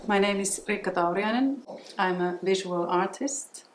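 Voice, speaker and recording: A visual artist woman from northern Finland, talking thoughtfully in an interview, pausing often with fillers. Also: mid-range voice, roomy sound, background noise. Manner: thoughtful, hesitant with many fillers. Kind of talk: documentary interview.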